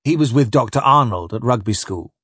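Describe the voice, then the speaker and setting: A man's voice: mid-range voice, animated, brisk, a bit bright, breathy edge, no echo, clean studio sound. A man voicing a pompous, scholarly, bad-tempered ship's captain in an audiobook story, pedantic and declamatory. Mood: condescending, pedantic. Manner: pedantic, declamatory, quoting Latin.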